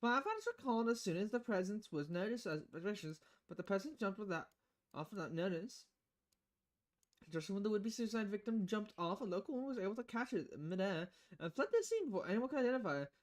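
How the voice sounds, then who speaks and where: A woman's voice: mid-range voice, animated, quick pace. A woman streaming a visual novel, reading the dialogue aloud with casual, playful commentary and switching voices between lines.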